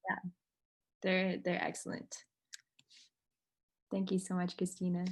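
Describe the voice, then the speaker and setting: A woman's voice: lowish voice, completely flat delivery, measured pace. A woman hosting a casual check-in on a video call. She talks in a friendly, hesitant way, with plenty of ums. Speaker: workshop facilitator. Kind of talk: casual online group check-in.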